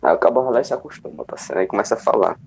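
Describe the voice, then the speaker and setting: A man's voice: lowish voice, animated, racing, creaky, darkish tone, husky. A young man student, laid-back and slangy, answering his teacher over a video call. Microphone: video call mic.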